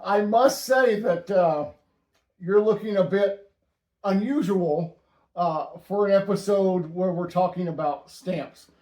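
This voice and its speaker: Guy hosting a stamp show, kinda high voice, dry deadpan jokes, echoey room. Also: slow. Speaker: host. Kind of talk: casual stamp-collecting chat.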